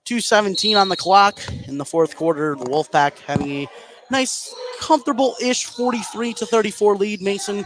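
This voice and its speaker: Higher-voiced man calling live basketball, noisy background. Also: normal speed. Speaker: play-by-play commentator. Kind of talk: live basketball radio commentary. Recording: clear background gym noise. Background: gym ambience of a live game.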